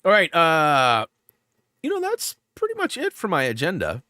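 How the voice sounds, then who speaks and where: A man's voice: fairly high pitch, huge pitch swings, normal speed, sharp tone, no room sound, clean studio sound. Rambling man hosting a livestream. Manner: rambling, filler-heavy, lots of "like". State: stuffed up. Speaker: livestream host. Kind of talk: casual livestream chat.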